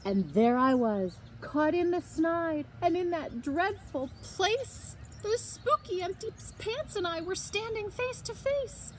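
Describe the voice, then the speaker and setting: High-pitched, animated woman reading a storybook. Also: a little hiss or hum. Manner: animated, dramatic emphasis with drawn-out words. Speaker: librarian reading aloud to children. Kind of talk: expressive storybook read-aloud.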